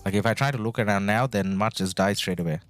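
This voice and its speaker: A man's voice: fairly low pitch, fairly quick. A man from Bangladesh whose first language is Bengali, chatting casually while streaming a strategy game and answering viewers' chat in a rambling way. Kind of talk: casual live-stream commentary. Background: background music.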